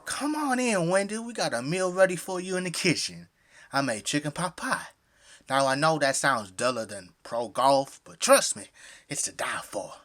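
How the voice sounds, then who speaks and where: A man's voice: on the high side, very animated, moderate pace, fairly bright tone. An actor playing a friendly, folksy middle-aged family man with an American Southern accent in an audio drama. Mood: jovial, welcoming. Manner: effusive, folksy, hospitable.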